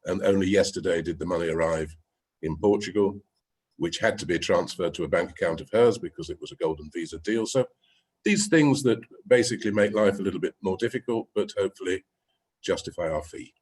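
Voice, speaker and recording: A man speaking on a webinar panel as a real estate agent, measured, often checking in with "yeah?". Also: low voice, a little mellow, slightly rough. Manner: measured, with frequent "yeah?" tags. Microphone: video call.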